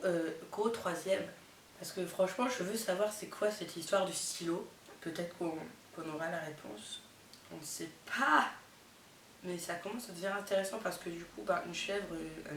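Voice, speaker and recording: A woman's voice: lowish voice, normal speed, fairly bright tone. A young woman talks casually with a head cold that makes her voice nasal, filling her chat with lots of fillers and amused asides. She sits some way from the camera in an echoey room. Faint hiss runs under the recording. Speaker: vlogger. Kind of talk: casual chatty vlog, reading out summaries with reactions. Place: indoors, not her bedroom. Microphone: camera mic. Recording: roomy, distant camera mic with faint hiss.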